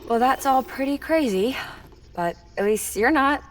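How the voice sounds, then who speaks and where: A woman in her thirties playing a weary, kind scientist mother in a video game, speaking in a soft, measured, matter-of-fact way. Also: sits high, lively intonation, background noise. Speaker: scientist and engineer. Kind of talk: naturalistic cinematic video game acting.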